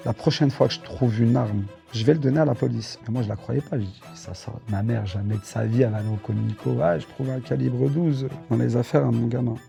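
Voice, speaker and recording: A man in his thirties from France, telling his life story as an interview guest, halting and full of fillers and restarts. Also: mid-range voice, vocal fry, mellow, soft background music. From Dreux, France.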